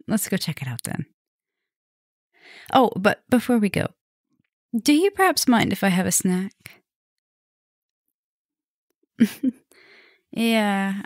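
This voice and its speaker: A woman playing a flirtatious, softly dominant vampire professor in an ASMR roleplay, intimate and teasing, sometimes dropping to a whisper. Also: medium pitch, no echo, clean studio sound. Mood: playful, inviting. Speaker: roleplay audio performer.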